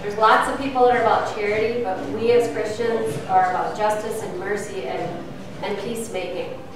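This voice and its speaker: A woman's voice: mid-range voice, steady tone, normal speed, a crowd in the background. A middle-aged woman leading outreach ministry, answering questions at a church forum in an earnest, explaining way with frequent fillers. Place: church meeting room. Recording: echoey room, distant mic, faint noise.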